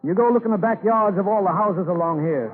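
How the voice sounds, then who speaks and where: Tinny old-radio, high-voiced folksy frontier townsman played by a man. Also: brisk, lightly acted. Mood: earnest, insistent. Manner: folksy, rustic, ungrammatical speech. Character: folksy, eager male townsman of gold-rush Sacramento. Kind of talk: 1930s radio acting. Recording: tinny, band-limited old radio recording.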